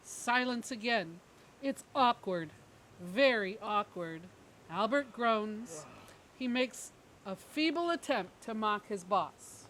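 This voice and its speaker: Slow-paced female narrator, noisy recording. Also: mid-range voice, lively intonation, fairly bright tone. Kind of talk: scripted narration, reading stage directions. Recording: clearly noisy background ambience.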